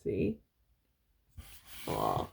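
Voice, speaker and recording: An older woman reading a picture book aloud to young children, pausing to explain things and ask simple questions. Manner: expressive, simple explanations and questions for little listeners.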